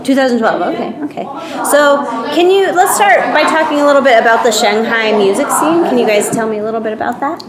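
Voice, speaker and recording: A woman with a somewhat high voice interviews musicians in a casual, friendly way, firing off quick questions. A busy crowd can be heard behind her. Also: echoey room. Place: noisy music venue.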